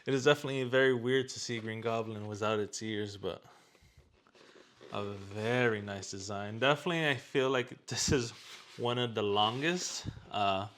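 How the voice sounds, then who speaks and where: A man's voice: medium pitch, normal speed, a bit bright. A man chatting casually as he hosts an unboxing and product review.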